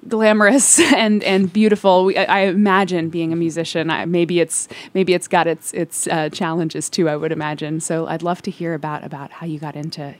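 A woman hosting a morning radio interview, friendly and halting, often repeating words as she asks questions. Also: lowish voice. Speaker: radio host. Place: radio studio. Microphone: studio microphone.

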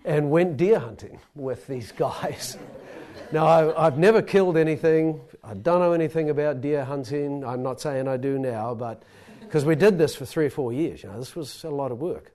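A man's voice: a little high, moderate pace, mellow. A middle-aged man with a New Zealand accent telling a rambling, self-deprecating personal story to a live audience.

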